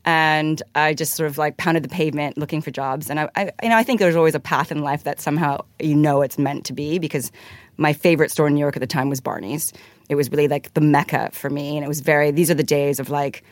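A woman's voice: deep voice, fairly even intonation, fast talker, no echo. A woman from Hong Kong, a guest in a studio interview, talking in a chatty, rambling way with many "like"s and restarts. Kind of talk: casual interview answer. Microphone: studio mic.

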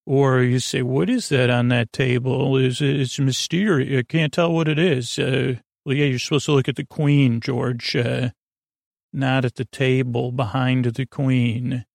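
A man hosting a bedtime podcast, rambling in a halting, tangential way with trailing unfinished sentences and a gravelly voice. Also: mid-range voice, steady tone, dry recording, studio quality. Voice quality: creaky, gravelly. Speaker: podcast host. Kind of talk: rambling bedtime monologue to help listeners fall asleep.

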